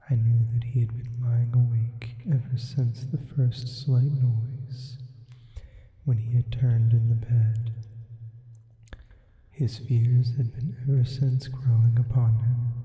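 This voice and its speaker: Man as a slow, hushed demon in heavy reverb. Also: mid-range voice. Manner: hushed, slow, deliberate, often whispered. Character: sleep paralysis demon softly reading a gothic horror tale. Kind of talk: ASMR horror storytelling. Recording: heavy reverb, muffled phone-like band.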